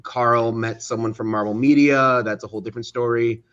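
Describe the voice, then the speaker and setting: A man's voice: mid-range voice, fairly even intonation, fairly quick, a bit bright, clear, resonant tone. A man with a mid-range voice comes through a little muffled. He speaks as an interview guest, recounting things casually and stopping often to find the next word. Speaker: interview guest, studio co-founder.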